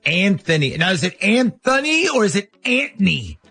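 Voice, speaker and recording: A man's voice: high-pitched, sing-song, normal speed, vocal fry, bright, forceful tone, husky, soft background music. A man hosting a phone-in radio show with jokey, probing banter.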